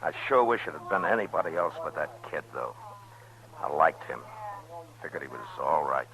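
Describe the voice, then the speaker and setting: An actor playing a grave, weary frontier marshal in an old-time radio western, speaking tersely and somberly. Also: male voice, rumbling low, animated, normal speed, crowd noise, rough recording. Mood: regretful. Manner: terse, somber, plain-spoken.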